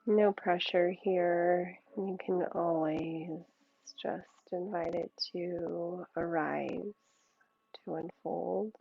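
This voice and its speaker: A woman yoga teacher leading a guided meditation in a soothing, unhurried way, with gentle pauses between short phrases. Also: on the low side, fairly even intonation, creaky, slightly rough, no echo, muffled recording.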